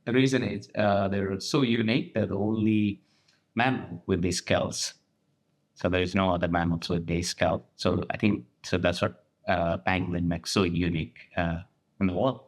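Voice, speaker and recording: A young man with a Nepali accent, a conservation researcher explaining his work in halting, thoughtful sentences with restarts. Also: deep voice, steady tone. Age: young adult. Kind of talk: casual conversation.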